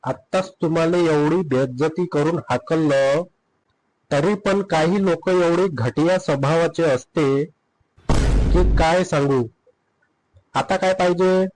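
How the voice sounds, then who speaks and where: A man's voice: on the high side, unhurried, pauses often, a little mellow, a little hiss or hum, lightly acted, rough recording. A man playing a rude, grumbling husband in a comedy cartoon, blunt and sarcastic with a Vidarbha Marathi accent. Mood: exasperated, indignant.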